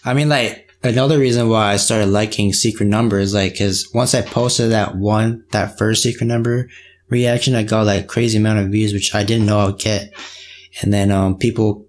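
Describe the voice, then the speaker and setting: A man's voice: fairly low pitch, steady tone, normal speed. A man hosting a casual podcast, speaking in informal, slangy and somewhat hesitant phrases. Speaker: podcast host, K-pop reaction video creator. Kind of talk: casual chat.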